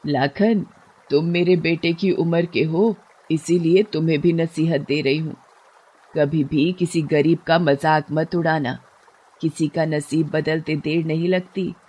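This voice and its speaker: A woman's voice: deep voice, warm tone, breathy edge, exaggerated voice. A woman playing a poor, devout, kind-hearted older fisherwoman in a moral story, speaking earnestly. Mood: admonishing. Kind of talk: moral storybook cartoon voice acting.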